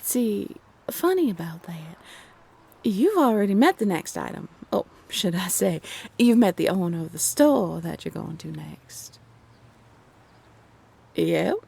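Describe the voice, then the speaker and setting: A woman's voice: on the low side, big pitch swings, slow pace, creaky, mellow, breathy edge, full character voice. A woman voicing a smug, teasing female guide who toys with humans in a romantic roleplay audio drama, in a sly manner.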